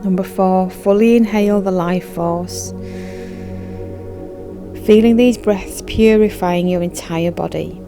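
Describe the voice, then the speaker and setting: A woman narrating a guided meditation in a soothing, measured, instructive manner. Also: mid-range voice. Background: background music.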